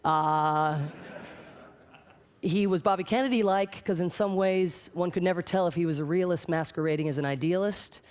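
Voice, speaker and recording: A man's voice: high voice, moderate pace, clear, resonant tone. A man giving an anecdotal talk as a public speaker, articulate and rhetorical. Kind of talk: anecdotal talk profiling a person.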